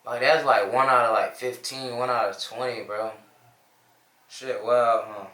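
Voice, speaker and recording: A man's voice: medium pitch, fairly even intonation, measured pace, reverberant, poor audio. A man in his twenties with a Midwestern American accent, chatting casually and joking with strangers on a video chat app. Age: 20s. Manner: slangy, joking, sings and shouts at times.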